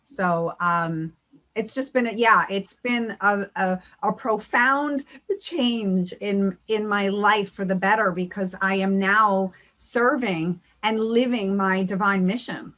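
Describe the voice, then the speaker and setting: A woman's voice: medium pitch, slow, sharp tone, lo-fi sound. A woman giving an earnest spiritual talk over a video call, with many ums and restarts. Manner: earnest, many fillers, speaks of spiritual energy. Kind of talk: online talk and Q&A.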